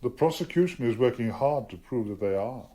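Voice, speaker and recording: An actor with an English accent playing a formidable, witty gentleman barrister in a radio drama, commanding and theatrical. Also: mid-range voice, animated, brisk, close to an everyday voice. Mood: probing, grave. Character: formidable, witty gentleman barrister, a doting but teasing father.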